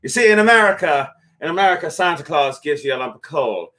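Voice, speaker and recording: A man's voice: on the high side, lively intonation, quick pace, sharp tone. A man in his thirties hosts a tipsy stream with a partner, his voice swinging up and down as he jokes. He rambles, restarts, and lets his words drop off into mutters. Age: 30s. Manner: rambling, jokey, trailing off into mumbles. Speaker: musician co-host of a drinking stream. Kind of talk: casual drunken livestream banter.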